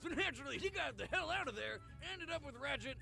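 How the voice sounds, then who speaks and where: A man's voice: very high-pitched, expressive, fast, creaky, music in the background. A man delivering scripted comedic narration with crude wisecracks.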